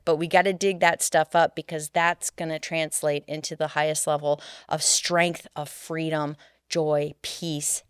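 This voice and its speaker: A middle-aged woman co-hosting a podcast, talking earnestly in a casual chat and getting animated when she tells stories. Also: low-pitched, smooth, no echo, studio quality. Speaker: podcast co-host and coach.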